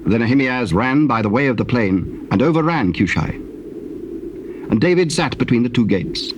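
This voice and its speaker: Casting: male narrator, medium pitch, measured scriptural storytelling, noisy old cassette sound. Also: animated.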